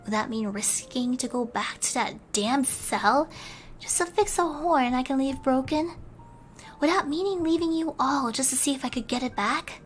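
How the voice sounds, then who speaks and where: A woman voicing a calm, knowing female archiver who speaks softly and gently teases. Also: high voice, normal speed, soft background music, natural voice. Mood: reassuring.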